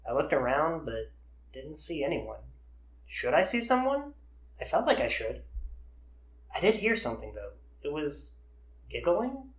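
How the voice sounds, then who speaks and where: A man playing an earnest, haunted man in his early twenties who tells his eerie story haltingly in an audio drama. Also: a little high, very animated. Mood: uneasy, searching. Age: young adult. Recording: roomy, echoey voice recording.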